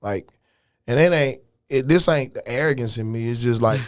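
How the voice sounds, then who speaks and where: A man in an interview chair talks in a relaxed, frank and profane way, often restarting his sentences. His voice sits in an ordinary middle register. The sound is close and dry, with no room around it. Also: mid-range voice, moderate pace, no echo. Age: adult, older than his early years. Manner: laid-back, frank, profane, restarts and "you know what I'm saying". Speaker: rapper guest. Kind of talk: casual podcast interview.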